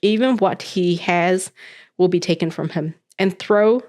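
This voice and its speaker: Chatty New Zealand woman, lowish voice. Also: measured pace, darkish tone. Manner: chatty and upbeat, with loose fillers. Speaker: host reading scripture. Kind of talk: casual chat, then scripture reading aloud.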